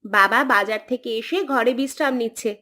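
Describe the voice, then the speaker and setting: A woman voicing a nagging, teasing young village wife with a sharp tongue, in a folk-tale cartoon. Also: fairly high pitch, a little fast. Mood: matter-of-fact. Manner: scolding, teasing. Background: background music.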